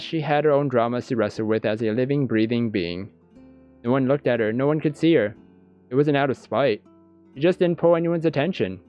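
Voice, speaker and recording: A man with a medium-pitched voice narrates a visual novel for a gaming video. His own asides come out halting and stammered. The audio is a little lo-fi, with soft guitar music behind him. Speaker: gaming YouTuber reading a visual novel aloud. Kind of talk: let's play narration with off-the-cuff reactions. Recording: dull lo-fi audio. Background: guitar background music.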